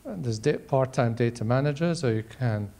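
A man in his sixties speaks on a panel in a large auditorium. His voice is mellow and of middling pitch. He builds his points deliberately, with halting phrases. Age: 60s. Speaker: panel member, ocular oncologist. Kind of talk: measured panel discussion answer. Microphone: handheld microphone.

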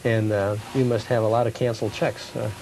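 Mellow-voiced male interviewer. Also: lowish voice, flat delivery, fairly quick, vocal fry, a little hiss or hum, poor audio. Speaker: TV show host interviewer. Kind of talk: conversational interview.

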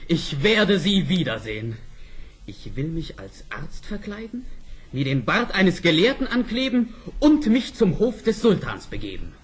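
Young fairy-tale prince, high voice that swings a lot, earnest old radio drama. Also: male voice, big pitch swings, a little slow, husky, heavily acted. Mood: excited. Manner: earnest, impassioned declamation. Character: ardent, devoted young prince. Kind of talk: vintage fairy-tale radio play acting.

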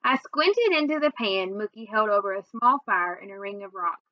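A woman's voice: mid-range voice, animated, moderate pace, dry recording, highs cut off. A young adult woman narrating a children's book as a read-aloud, recorded indoors on a webcam. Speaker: reader. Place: small room at home, indoors.

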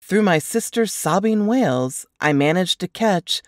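A woman's voice: on the low side, lively intonation, unhurried, no echo, studio quality. A woman reading a novel excerpt as dramatic first-person audiobook narration.

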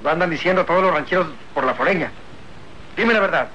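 A man's voice: a little high, quick pace, pushed and bright, muffled recording. A man playing a bold, hot-tempered young rancher hero in a radio serial, emphatic, with a Mexican accent. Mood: demanding. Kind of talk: old radio serial acting. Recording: faintly noisy old radio recording.

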